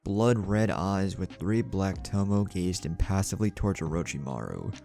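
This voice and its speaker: A man's voice: deep voice, animated, normal speed, vocal fry, warm tone, soft background music. A man narrating a scripted action story in a dramatic storytelling style.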